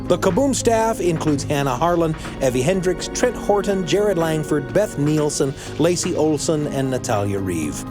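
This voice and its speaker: A man's voice: a little high, animated, normal speed, few pauses, music in the background. A man hosting a podcast in a conversational storytelling way, musing and addressing the listener directly. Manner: storytelling, musing with rhetorical questions. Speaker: podcast host. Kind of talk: conversational reflection and show announcements.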